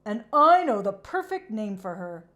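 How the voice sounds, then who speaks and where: A woman voicing an excitable, stubborn but soft-hearted male horse from a children's storybook, with dramatic delivery. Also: mid-range voice, measured pace. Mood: warm. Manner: dramatic exclamations, stubborn complaints. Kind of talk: children's storybook character reading.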